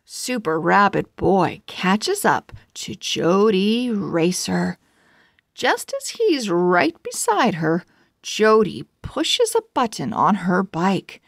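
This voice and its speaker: A woman narrates a children's book at a very slow pace. Her phrasing is deliberate and measured, made for young readers. The recording is dry and close, and her pitch sits in a middle range. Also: dry recording. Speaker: children's book read-aloud host. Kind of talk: read-aloud story narration for children.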